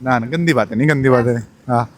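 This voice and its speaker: Rapid-fire teasing vlogger guy. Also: mid-range voice, dry recording. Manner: teasing, animated, mixes Hindi and English. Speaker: vlogger host. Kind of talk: casual vlog chat.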